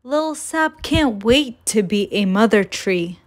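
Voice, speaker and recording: A woman reading a children's picture book aloud in a measured, deliberate storytelling manner. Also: fairly high pitch, animated. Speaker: children's storytime reader and host.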